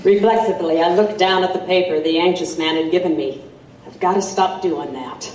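A woman's voice: on the low side, fairly quick, a little mellow, recorded from a distance, a little hiss or hum, natural voice. A woman playing a wry reporter who narrates her own period investigation in scripted first person. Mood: wry, storytelling. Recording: echoey, off-mic, music behind.

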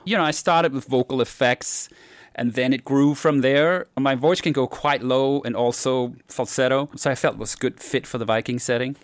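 Man, composer, deep voice, relaxed detailed answers, mid pitch, dry close sound. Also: moderate pace, no echo. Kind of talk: interview answers.

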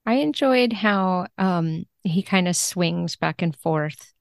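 A woman chatting casually as an interview guest, telling things in an animated way and acting out quoted thoughts. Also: fairly low pitch, takes it slowly, vocal fry, dry recording, clean studio sound. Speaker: podcast guest, book translator.

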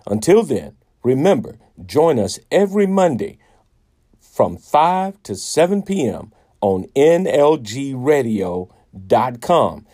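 A man's voice: medium pitch, sing-song, slow, warm tone, dry recording, studio quality. A man hosting a radio show, talking to guests and listeners in an encouraging, motivational way. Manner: encouraging, exhortative.

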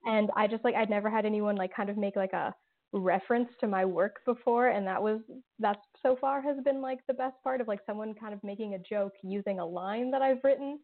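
A woman talking as an interview guest, circling her answers with plenty of fillers and restarts. Her voice sits at an ordinary middle pitch. It comes through a narrow, tinny phone-like line. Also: a little fast. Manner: rambling, many fillers and restarts. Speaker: podcast creator and guest.

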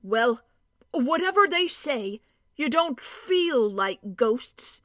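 A woman voicing a cheerful, stocky male dwarf with a hearty, emphatic, drawn-out way of talking. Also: high-pitched, sing-song, a bit bright, dry recording, clean studio sound, heavily acted.

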